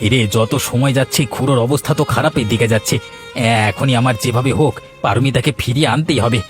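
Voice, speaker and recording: Man acting a fast-talking, worried village uncle. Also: mid-range voice, fairly even intonation, soft background music, close to an everyday voice. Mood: alarmed, urgent. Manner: urgent, pleading. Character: earnest, worried village uncle, pleading and loyal. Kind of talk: cartoon voice acting.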